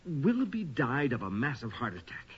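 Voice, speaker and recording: An actor playing an earnest, uneasy investigator who explains the supernatural in a grave manner in a radio drama. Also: male voice, medium pitch, sing-song, normal speed, slight background noise, close to an everyday voice. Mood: insistent. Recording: muffled old radio recording.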